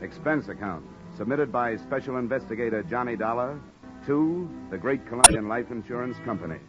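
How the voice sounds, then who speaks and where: An actor playing a sardonic, hard-boiled insurance investigator in an old-time radio noir drama. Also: male voice, mid-range voice, lively intonation, fairly quick, over loud music, natural voice. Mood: wry, narrating. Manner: sardonic, dry. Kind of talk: 1940s-50s radio acting, noir narration. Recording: muffled old radio recording.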